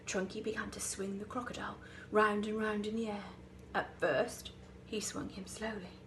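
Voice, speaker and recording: A woman reading a children's story like an infant school teacher, expressive and with dramatic emphasis. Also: medium pitch, normal speed, noisy background.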